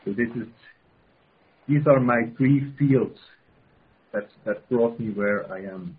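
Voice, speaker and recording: A man with a Swiss accent, a guest on a call-in show, talking haltingly and often restarting his phrases. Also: medium pitch, slightly rough. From Switzerland. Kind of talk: casual interview. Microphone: telephone. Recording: phone-line call-in audio.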